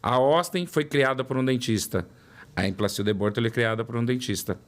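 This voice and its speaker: A man's voice: mid-range voice, fairly quick. Paulistano man telling stories with emphasis. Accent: São Paulo Portuguese. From São Paulo, Brazil. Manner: storytelling, repeats words for emphasis. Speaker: guest, product development director. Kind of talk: interview conversation.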